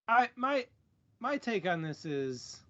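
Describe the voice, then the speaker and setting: A man co-hosting a stream in informal, casual chat, haltingly feeling his way to the right words. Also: high-pitched, fairly bright tone, lo-fi sound. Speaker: co-host of a book club stream.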